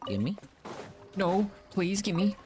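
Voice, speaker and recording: A man's voice: high voice, sing-song, very slow, darkish tone, faint music behind. A man giving casual gameplay commentary as a streamer, thinking aloud and muttering to himself. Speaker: game streamer.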